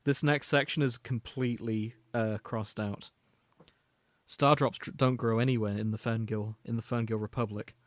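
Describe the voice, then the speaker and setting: A man's voice: medium pitch, steady tone, moderate pace, dry recording. A man hosting a podcast, reading and summarizing fan fiction as a storyteller and slipping into casual asides. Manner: storytelling, alternating read-out prose with casual asides. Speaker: podcast host.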